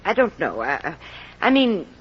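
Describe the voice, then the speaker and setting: A woman's voice: on the low side, big pitch swings, unhurried, breathy edge, exaggerated voice. A woman playing a haughty, indignant older society mother in an old radio crime drama, imperious and offended. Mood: defensive. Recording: noisy, dull old radio recording.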